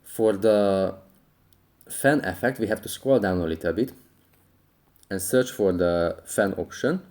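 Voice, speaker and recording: A man's voice: fairly low pitch, a little slow, a little mellow. A man hosting a how-to tutorial, narrating app instructions step by step. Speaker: tutorial host.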